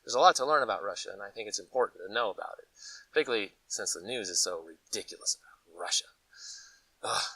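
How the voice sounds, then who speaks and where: A man's voice: on the low side, fast talker, breathy edge, no room sound, distant mic, low-quality recording. An American man from Colorado chatting casually as a vlog host, telling stories in a folksy, conversational way. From Colorado, United States. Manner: animated, folksy, conversational storytelling with acted-out asides. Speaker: host of a preparedness gear channel. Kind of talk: casual rambling vlog chat.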